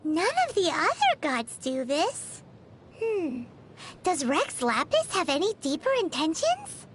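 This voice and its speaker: A woman voicing a tiny, childlike, excitable fairy companion in scripted game dialogue, with a squeaky, exclamatory delivery. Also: takes it slowly, a little hiss or hum, heavily acted. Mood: thoughtful. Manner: exclamatory, refers to self in third person.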